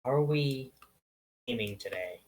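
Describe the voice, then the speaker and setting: Young male player over a chat mic, mid-pitched, halting, lots of uh and like. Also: animated, a little mellow. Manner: hesitant, stammers and fills with uh and like. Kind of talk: casual voice chat during a tabletop game. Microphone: online voice chat mic.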